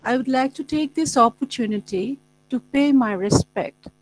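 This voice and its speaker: A middle-aged woman, a Bangla speaker, giving slow, effusive, formal thanks on a video call with a mains hum. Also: high-pitched, poor audio. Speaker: guest speaker.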